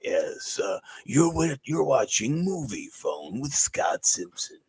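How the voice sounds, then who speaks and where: Lively raspy man co-hosting a sports show. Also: mid-range voice, slow. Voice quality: raspy today. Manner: animated, jokey, rambling with restarts. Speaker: co-host. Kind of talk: casual fantasy sports chat.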